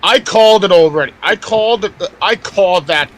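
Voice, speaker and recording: A man's voice: high voice, a little fast, fairly bright tone. A man giving casual sports commentary as a podcast panelist, insistently repeating and rephrasing his points.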